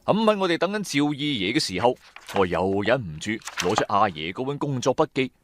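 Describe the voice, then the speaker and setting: A man's voice: medium pitch, sing-song, fairly quick, background noise. A man with a Cantonese accent narrating a dramatised first-person story in a theatrical, colloquial way. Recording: background music under the voice.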